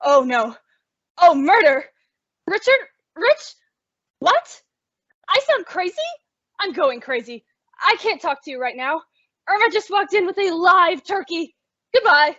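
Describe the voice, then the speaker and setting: A woman's voice: very high voice, big pitch swings, a little slow, long pauses, bright, forceful tone, dull audio, exaggerated voice. A woman playing a sensible young roommate pushed to exasperation, emphatic and dramatic, in an old-time radio comedy. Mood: flustered.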